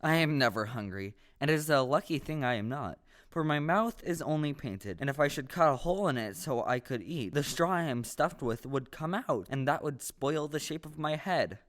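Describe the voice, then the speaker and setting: Friendly, naive male scarecrow played by a woman for a stage audio play: low, very animated, earnest and polite. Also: moderate pace, hardly any pauses. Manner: exclamatory, earnest, formally polite. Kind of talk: stage radio play acting.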